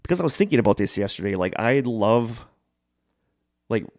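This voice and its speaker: Man, low voice, casual storyteller, enthusiastic, rambling, podcast co-host, dry sound. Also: very animated, moderate pace, darkish tone, dry recording.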